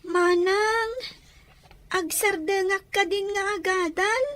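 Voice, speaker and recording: A woman's voice: extremely high pitch, fairly even intonation, slow pace, a bit bright, full character voice. A woman playing an anxious young schoolgirl in a radio drama, with a plaintive, hesitant way of talking. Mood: worried. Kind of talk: Ilocano radio drama acting.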